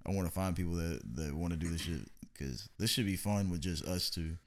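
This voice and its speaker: A man from hosting a casual, profane podcast chat in a laid-back, drawn-out way. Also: deep voice. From Louisville, Kentucky. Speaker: podcast host.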